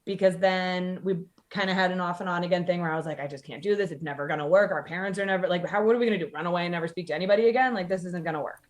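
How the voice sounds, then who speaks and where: A woman's voice: on the low side, very fast, hardly any pauses. A woman telling a personal story casually as a podcast guest, candid, sardonic and sweary, acting out the dialogue she quotes.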